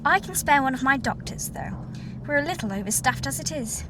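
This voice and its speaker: A woman playing a brisk, courteous senior colony doctor in a science-fiction audio drama, with formal, old-fashioned phrasing. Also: high voice, lively intonation, noisy background.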